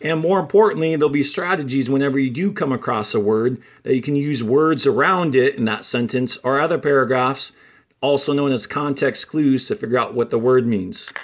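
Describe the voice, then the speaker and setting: A man reading aloud and instructing as a fourth-grade teacher, with deliberate phrasing and dramatic pauses. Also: medium pitch. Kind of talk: expressive classroom read-aloud and instruction.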